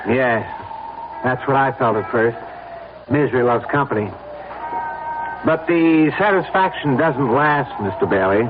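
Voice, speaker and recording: A man's voice: mid-range voice, expressive, lightly acted. An American man playing a bitter, insistent victim pushing a plot in a vintage radio drama. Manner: insistent, pressing, deliberate. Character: bitter, insistent male blackmail victim recruiting a murder plot. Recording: noisy narrowband old radio recording.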